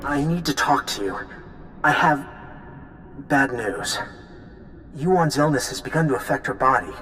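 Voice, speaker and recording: A man's voice: mid-range voice, lively intonation, measured pace, sharp tone, slight background noise. A man voicing an earnest, concerned ally in a video game, speaking with urgency. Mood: worried. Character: earnest, worried male ally bearing news.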